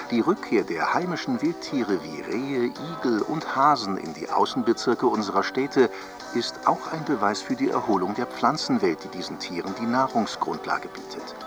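A man acting as a formal, polished newsreader of a futuristic network newsroom in a radio drama. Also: on the low side. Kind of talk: scripted news bulletin in radio drama. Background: music bed.

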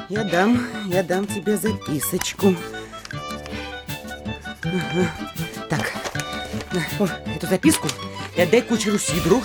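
An actress playing a fussy, vain, curious mayor's wife and mother in middle age, with a husky voice and eager, dramatic delivery in a classic comedy audio play. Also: lowish voice, close to an everyday voice. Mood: flustered, bossy.

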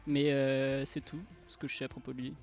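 A man's voice: mid-range voice, completely flat delivery, a little fast, a little mellow, soft background music. A young man from Andalusia, a native Spanish speaker, chatting hesitantly as a guest on a student radio show. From Andalusia, Spain. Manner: hesitant, many fillers, laughs often. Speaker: mystery guest. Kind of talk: casual radio chat.

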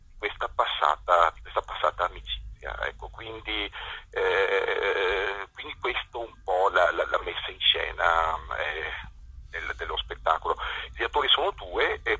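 A man with a very low but sharply forceful voice talks through a tinny telephone line. He explains things off the cuff, halting and doubling back on words. The delivery is that of an interviewed stage director. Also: slightly rough, dry recording. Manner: halting, repeats words as they form. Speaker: theatre director being interviewed. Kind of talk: spontaneous phone interview.

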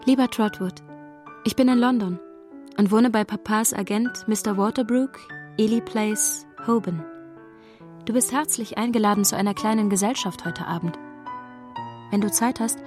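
An actress playing a gentle, devoted young woman with an earnest, composed manner in a radio drama. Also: medium pitch, steady tone, moderate pace, over music, close to an everyday voice. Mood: affectionate. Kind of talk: German radio drama dialogue.

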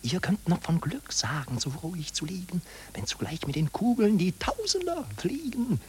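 Gloating, mocking profiteer, whispered verse with lively swings, radio drama, faint hiss. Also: female voice, on the low side, normal speed. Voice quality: breathy whisper. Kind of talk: stylized rhymed radio drama.

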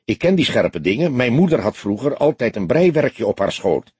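A man's voice: medium pitch, big pitch swings, moderate pace, darkish tone, slightly rough, dry recording. A man voicing a keen-eyed, plain-spoken and certain junior detective in an audiobook.

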